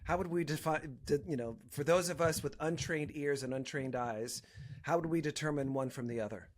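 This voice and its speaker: A man's voice: a little high, moderate pace. A man hosting a podcast interview, chatting casually with a playful, joking manner. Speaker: podcast host.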